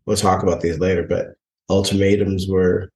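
Deep voiced guy on a couples podcast, halting, thinks as he talks, mic far off. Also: flat delivery, darkish tone, roomy sound. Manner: reflective, halting, many restarts. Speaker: podcast co-host. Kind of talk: casual couple chat.

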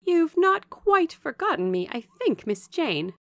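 A warm-hearted young servant woman chatters away in a classic storybook, acted out by a woman narrator. Her voice is lifted high, and her manner is lively, familiar and full of gossip. The recording is dry. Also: unhurried, vocal fry, no echo, full character voice. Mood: delighted, teasing. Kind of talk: storybook character dialogue.

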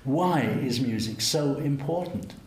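A man's voice: medium pitch, expressive, unhurried, a little mellow. An older man, a cellist, musing conversationally and self-deprecatingly, often ending with tag questions. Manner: musing, asks tag questions, chuckles at himself. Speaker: cellist and guest. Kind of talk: conversational interview. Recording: roomy event recording with faint hum.

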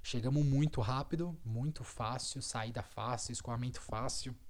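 A man, co-hosting a podcast and telling a story in a relaxed, rambling way. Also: mid-range voice, normal speed. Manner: relaxed storyteller, trailing filler words. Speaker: podcast co-host. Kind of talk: casual podcast chat.

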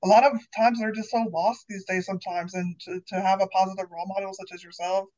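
A woman's voice: medium pitch, fairly even intonation, fast, warm tone, highs cut off. A woman hosting a podcast, a teacher herself, asking friendly, rambling questions with many fillers. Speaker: podcast host. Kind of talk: casual podcast chat.

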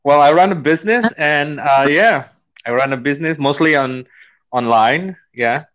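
A man's voice: a little high, fairly bright tone, poor audio. An Indonesian man with an Indonesian accent, a business trainer chatting on a call and speaking with frequent fillers and restarts. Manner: halting, many fillers and restarts. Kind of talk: casual conversation.

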